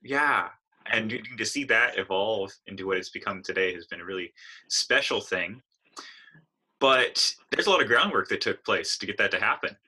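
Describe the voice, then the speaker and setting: Bright-voiced male podcast host. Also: on the low side, animated, moderate pace, husky, rough recording. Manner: warm, hesitant, fills gaps with "uh". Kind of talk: casual interview.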